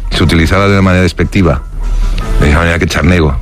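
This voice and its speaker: A man's voice: low-pitched, steady tone, very fast, over music. A man from Spain in his sixties, telling childhood memories in a casual, hesitant way with many restarts and fillers. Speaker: interviewee. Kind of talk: casual reminiscing.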